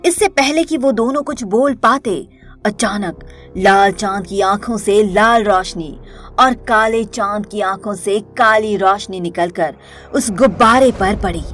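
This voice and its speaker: A woman reading scripted narration for an animated moral story as its storyteller. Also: mid-range voice.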